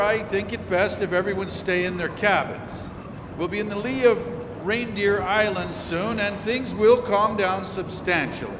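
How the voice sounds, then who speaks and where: A man playing a steady, reassuring steamship captain in a radio drama, giving orders with small hesitations. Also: high voice. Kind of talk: stage radio drama acting. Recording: echoey hall, clear background noise.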